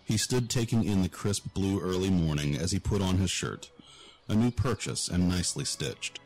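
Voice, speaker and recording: A man's voice: deep voice, expressive, moderate pace, a little mellow. A man giving scripted narration for a fantasy audio drama.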